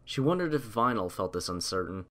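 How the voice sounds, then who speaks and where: A man reading a story aloud as scripted audiobook narration, in a measured, literary storytelling manner. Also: fairly low pitch, very animated, a bit bright.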